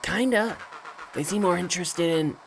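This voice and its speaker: A man's voice: high-pitched, normal speed, gravelly, a little hiss or hum, pitched above the performer's own voice. A man voicing a frightened captive animal boy calling for help in a video game read-aloud. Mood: nervous, hushed.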